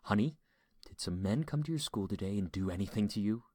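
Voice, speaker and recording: A man's voice: fairly low pitch, animated, a little fast, darkish tone, husky, no room sound, studio quality. A man voicing a tender, protective father and husband in an audiobook story, earnest and anxious. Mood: anxious, gentle. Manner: earnest, emphatic.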